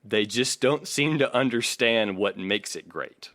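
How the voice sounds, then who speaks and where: A man's voice: medium pitch, unhurried, a bit bright, no room sound. A man co-hosting a casual podcast, reading from articles and arguing his points in an opinionated way. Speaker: podcast co-host.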